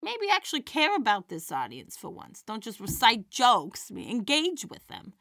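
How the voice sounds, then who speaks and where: A woman playing a feisty, warm-hearted elderly stand-up comedienne in an audio drama, with wry theatrical comic delivery. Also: fairly high pitch, huge pitch swings, moderate pace, no room sound, full character voice. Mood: earnest, encouraging.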